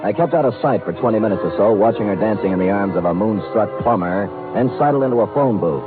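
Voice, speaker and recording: A tinny old radio broadcast with music behind it carries a hardboiled American private eye acted by a man. His voice sits low, and he talks in a wry, deadpan, streetwise way, as in a classic 1950s detective serial. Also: quick pace, close to an everyday voice. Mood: wry narration. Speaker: lead actor and narrator of a detective series.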